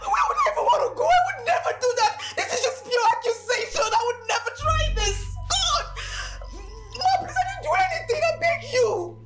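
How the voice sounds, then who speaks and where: A woman's voice: very high voice, animated, normal speed, loud music behind the voice, low-quality recording. A woman with a Nigerian Pidgin accent playing a young house cook who stammers and pleads her innocence. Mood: tearful pleading. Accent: Nigerian Pidgin English.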